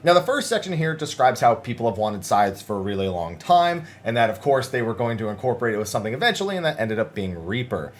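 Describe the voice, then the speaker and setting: A man's voice: mid-range voice, big pitch swings, quick pace, few pauses. A man hosting a gaming news video, talking through written interviews in a chatty, conversational way with casual asides.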